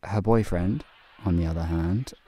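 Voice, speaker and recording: A man narrating an audio play in a calm, measured and wry way. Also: low voice, husky. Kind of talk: scripted narration. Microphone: home recording booth.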